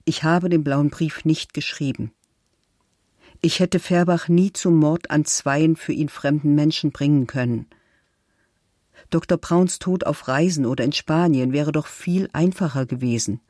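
A man with a fairly high voice reads a first-person statement aloud. The delivery is deliberate and declarative, recorded close and dry. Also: a little mellow, dry recording.

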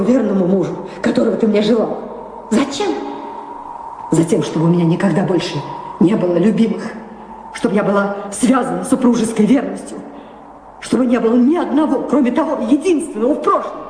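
A female actor plays a proud, embittered middle-aged married woman in an audio drama. Her mid-pitched voice is accusing and emphatic, wounded and theatrical, echoing in a roomy space over noticeable noise. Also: close to an everyday voice. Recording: echoey hall reverb, clear background noise.

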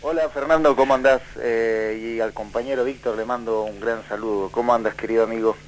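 A man's voice: medium pitch, steady tone, brisk, a little hiss or hum, rough recording. A man from Chile answering as a guest in a radio interview, searching for his words. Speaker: documentary director, interview guest.

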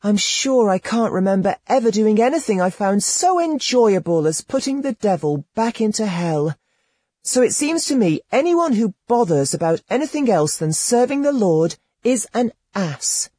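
A reader voicing a naive, trusting young girl, speaking high and breathy in wide-eyed, earnest exclamations. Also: sing-song, normal speed, warm tone, no echo, studio quality.

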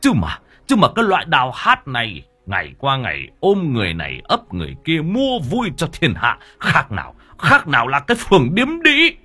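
A man's voice: medium pitch, huge pitch swings, moderate pace, hardly any pauses, pushed and bright, husky, voice pushed up, heavily acted. A man voicing a furious, domineering middle-aged father in an audio story, cursing and repeating himself in rage. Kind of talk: acted dialogue in audio storytelling.

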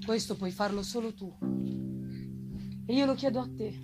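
A woman's voice: a little high, normal speed, natural voice. A woman voicing a wretched, vengeful male monster, pleading eloquently in a solemn, theatrical gothic reading. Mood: bitter, threatening. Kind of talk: dramatic gothic novel reading. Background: live plucked guitar music.

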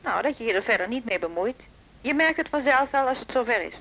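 Cool, high-voiced reluctant accomplice. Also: female voice, animated, fast talker, sharp tone, exaggerated voice, low-quality recording. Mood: reluctant, firm. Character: reluctant, independent-minded woman willing to do dirty work. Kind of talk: Dutch radio play acting.

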